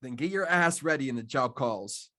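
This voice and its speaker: An actor playing a laid-back street operative in an audio drama, with teasing, slangy banter. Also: male voice, medium pitch, expressive, moderate pace, bright, forceful tone. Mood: urgent banter.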